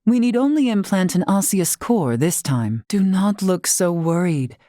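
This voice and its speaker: धीमे सुर वाली गंभीर महिला मुखिया. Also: female voice, fairly low pitch, expressive, warm tone, no room sound, studio quality. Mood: solemn, composed. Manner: formal, archaic, measured phrasing. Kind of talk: video game character acting.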